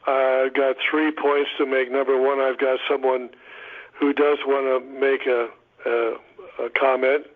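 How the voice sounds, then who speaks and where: A male caller with a mid-pitched, very even voice speaks over a thin telephone line. He sets out his remarks deliberately, point by point. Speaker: patient caller. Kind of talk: spoken remarks on a conference call. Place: conference call by telephone. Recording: lo-fi narrow phone line.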